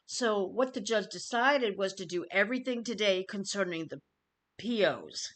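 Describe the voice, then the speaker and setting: A woman YouTube commentator giving an informal news update to viewers, phrasing things deliberately and emphatically with pauses. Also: mid-range voice, animated, fairly bright tone.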